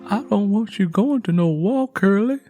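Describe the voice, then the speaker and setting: A man's voice: high-pitched, expressive, moderate pace, dark tone, faint music behind, voice pushed up. A man voicing an earnest, guarded young country woman with a cautious, pleading manner in an audiobook. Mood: worried, pleading. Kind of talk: dramatised audiobook dialogue.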